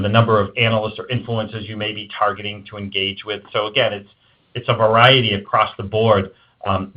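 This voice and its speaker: A man speaking as an executive guest in an interview, giving emphatic, conversational advice. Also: on the low side. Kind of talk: interview answers on marketing. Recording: dull lo-fi band-limited audio.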